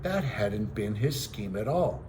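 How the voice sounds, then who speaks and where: A man with a fairly low voice narrates a children's story like a teacher reading to a class. The delivery is expressive, and there is slight noise in the recording. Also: unhurried. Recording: noisy home recording.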